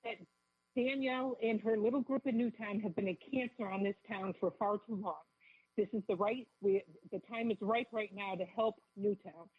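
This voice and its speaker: A woman with a cold and a raspy voice, calling in as a radio guest and speaking fervently and quickly. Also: mid-range voice, steady tone, dry recording. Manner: fervent, rapid, repeats herself. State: has a cold. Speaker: caller guest. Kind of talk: phone-in talk radio interview. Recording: tinny phone line.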